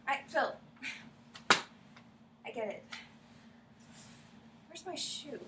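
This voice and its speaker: A woman acts a disappointed wife in a stage play, pitched high and unhurried. Her delivery is halting and pointed. She is picked up from a distance in a noisy room. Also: lively intonation, exaggerated voice. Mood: irritated, insistent. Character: hurt, disappointed wife longing for lost closeness.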